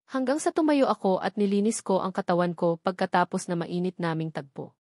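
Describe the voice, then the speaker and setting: A woman's voice: lowish voice, lively intonation, fairly quick, darkish tone, no room sound, studio quality. A woman with a Filipino accent reading a scripted first-person story as the narrator of an audio novel.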